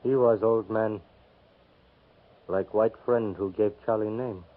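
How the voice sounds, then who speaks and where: Slow, solemn scout in halting English. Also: male voice, lowish voice, fairly even intonation. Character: solemn Native scout, grave, mournful, plain-spoken. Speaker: supporting actor in a radio western. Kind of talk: 1950s radio drama acting. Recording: muffled 1950s radio recording, faint noise.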